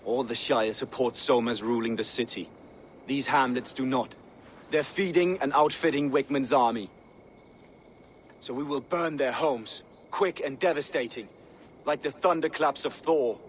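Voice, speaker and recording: An actor playing an intense, devout Viking seer, solemn, poetic and deliberate, in a video game cutscene. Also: male voice, medium pitch, a little hiss or hum, natural voice. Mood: solemn, resolute.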